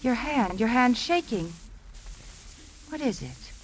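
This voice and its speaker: A woman's voice: fairly high pitch, animated, takes it slowly. An actress in a 1950s radio drama playing a poised, proud woman with a polished, composed way of talking. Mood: curious, intimate. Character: poised, cool woman suspected of poisoning, proudly defiant. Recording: 1950s radio broadcast, faint noise.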